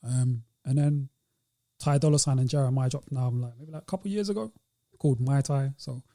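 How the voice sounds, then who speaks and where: A man's voice: medium pitch, brisk, dark tone. A British man co-hosting a podcast, chatting casually with plenty of slang. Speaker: podcast co-host.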